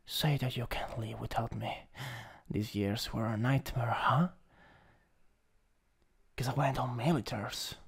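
A man's voice: mid-range voice, measured pace, creaky, pauses often, breathy edge, full character voice. A man with an Italian accent playing a possessive, teasing, dominant older stepbrother in an improvised ASMR roleplay. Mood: possessive, pleading. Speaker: roleplay audio performer.

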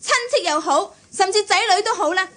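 A very high-pitched, stern nurse. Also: female voice, a little fast, a bit bright. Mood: stern, threatening. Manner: firm, scolding, authoritative. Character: stern, strict young female nurse. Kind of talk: Cantonese radio drama acting.

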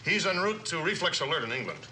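An actor playing an unflappable senior Air Force officer in a vintage television drama, brisk and authoritative. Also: male voice, fairly high pitch, very animated. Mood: calm authority. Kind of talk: 1960s television drama acting. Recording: noisy old drama soundtrack.

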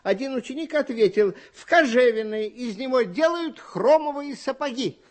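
A man hosting a children's radio show, presenting in a lively comic style and reading letters with expressive storytelling. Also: extremely high pitch, normal speed.

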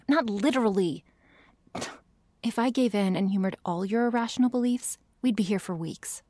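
Slow, creaky, prickly vampire girl played by a woman. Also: medium pitch, warm tone, no echo. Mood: sarcastic, annoyed. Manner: hesitant, sighing, defensive, often drops to a whisper. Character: tsundere vampire girl, prickly, sarcastic, secretly shy and sweet. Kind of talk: ASMR roleplay addressed to the listener.

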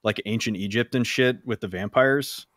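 A man's voice: mid-range voice, normal speed, fairly bright tone, dry recording, studio quality. A man chatting casually as a podcast panelist. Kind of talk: casual chat.

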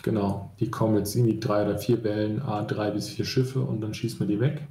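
Man, low mellow voice, joking, casual gamer chat, roomy sound. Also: flat delivery, a little fast. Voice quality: deep voice. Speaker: gaming stream co-player. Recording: roomy voice chat, faint noise.

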